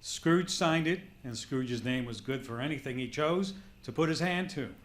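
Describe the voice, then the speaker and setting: A man's voice: medium pitch, moderate pace, fairly bright tone, lightly acted. A man playing a co-narrator of a Victorian ghost tale in theatrical live radio drama style. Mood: brisk, wry. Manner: theatrical storytelling. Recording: live stage performance recording.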